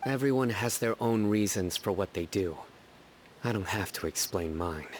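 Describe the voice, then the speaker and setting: An actor playing a stern, laconic lone enforcer in a video game, terse and commanding. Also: male voice, lowish voice, animated, moderate pace, a little hiss or hum, close to an everyday voice. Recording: game music behind the voice.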